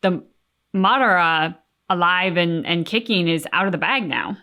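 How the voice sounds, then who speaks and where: A woman's voice: fairly low pitch, lively intonation, measured pace, sharp tone. A young adult woman co-hosting a reaction show, talking things over in an earnest, thoughtful way. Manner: thoughtful, earnest, talks in long reflective runs. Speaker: reaction channel co-host. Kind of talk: casual reaction chat.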